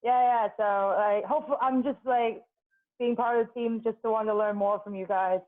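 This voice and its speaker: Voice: medium pitch, steady tone, brisk. A student from Hong Kong introducing themselves on a video call, hesitant with many fillers. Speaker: student at a marine science school. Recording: tinny phone-like call audio.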